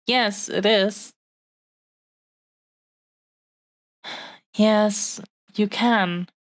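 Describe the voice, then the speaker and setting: A woman's voice: mid-range voice, no room sound. A woman voicing an affectionate, possessive dragon-woman fiancée in an intimate romantic audio roleplay, with tender, lingering delivery. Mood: playful, affectionate. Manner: tender, unhurried, pausing delivery. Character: affectionate, possessive young dragon-woman fiancée cherishing her beloved treasure.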